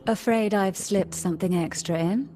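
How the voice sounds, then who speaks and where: A woman playing a sly, poised family matriarch in a video game, with an aloof, teasing and deliberate delivery. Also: mid-range voice, mellow, faint music behind, natural voice. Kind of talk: scripted video game dialogue.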